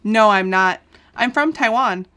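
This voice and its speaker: A woman's voice: mid-range voice, measured pace, bright, forceful tone. A woman reading English listening-test dialogue lines with careful, well-articulated delivery. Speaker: dialogue reader.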